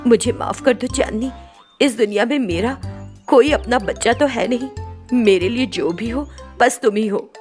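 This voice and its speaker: A woman's voice: a little high, moderate pace, a little mellow, faint music behind, natural voice. A woman playing a lonely, repentant middle-aged stepmother who speaks pleadingly. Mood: remorseful, pleading.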